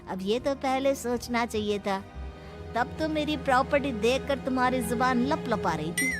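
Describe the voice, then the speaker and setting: A woman's voice: a little high, measured pace, close to an everyday voice. A woman voicing a shrewd, taunting middle-aged father-in-law in a cartoon story. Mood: smug, taunting. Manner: taunting, scolding. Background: clear background music.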